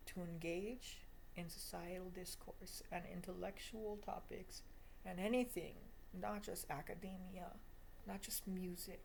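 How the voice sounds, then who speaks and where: A woman's voice: on the low side, gravelly, a little mellow, a little hiss or hum, poor audio. A woman of twenty giving a confessional video diary monologue in an emphatic, repetitive, self-deprecating way. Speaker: vlogger.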